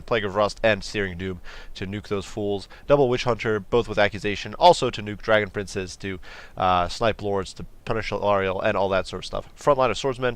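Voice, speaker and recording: A man commentating a strategy game battle in a quick, chatty way, his voice nasal from a cold. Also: on the low side. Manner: quick, chatty, many fillers and restarts. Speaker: gameplay commentator.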